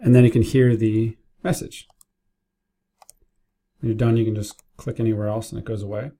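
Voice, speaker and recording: A man's voice: fairly low pitch, level tone, moderate pace, dark tone. A man narrating a casual screen-share tutorial, explaining things informally step by step as a business owner training staff. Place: indoors at a computer, keyboard and mouse clicks.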